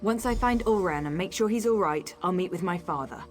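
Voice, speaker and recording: A woman's voice: fairly low pitch, animated, a little fast, close to an everyday voice. An actress playing a headstrong, sarcastic young noblewoman on the run in a video game, defiant and wry. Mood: determined. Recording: game music behind the voice.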